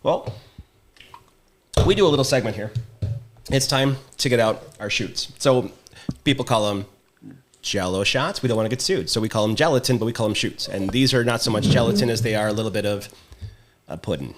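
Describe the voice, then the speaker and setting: A man's voice: lowish voice, fairly quick, long pauses, a little hiss or hum. A middle-aged man, hosting a casual podcast with laid-back, joking banter. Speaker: podcast host.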